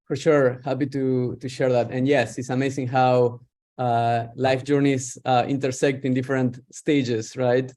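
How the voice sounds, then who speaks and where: A man's voice: mid-range voice, level tone, a little slow, a little mellow. Middle-aged man, reflective. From Argentina. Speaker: guest, startup founder. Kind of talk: interview on a video call.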